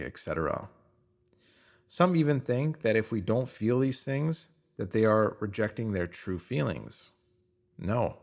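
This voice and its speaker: A man with a somewhat low-pitched voice reads a scripted motivational text aloud. His delivery is earnest and instructive, leaning on rhetorical questions as he narrates. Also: creaky. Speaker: narrator reading a written motivational post.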